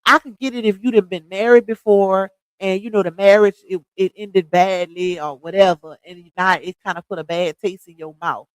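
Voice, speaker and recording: A woman's voice: mid-range voice, fairly even intonation, normal speed, hardly any pauses, clean tone, no room sound, low-quality recording. A woman in her thirties chatting casually on a livestream, gossiping, laughing often and talking straight to her viewers. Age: 30s.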